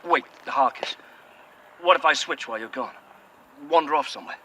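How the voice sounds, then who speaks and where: A man's voice: medium pitch, fairly quick, bright, forceful tone, slight background noise, natural voice. An actor playing a curt, sarcastic man who swears freely. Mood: dry sardonic. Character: bitter, sweary, sardonic man, weary. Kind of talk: audio drama acting.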